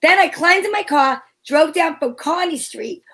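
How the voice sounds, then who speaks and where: A woman's voice: very high-pitched, animated, normal speed, a bit bright. A woman performing and narrating an old-time radio detective show in a teasing, wry, theatrical manner.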